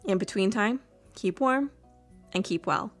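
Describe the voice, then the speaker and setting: A woman's voice: mid-range voice, unhurried, over music. A woman hosting a podcast, reading scripted closing announcements and credits. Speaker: podcast writer, reader and host.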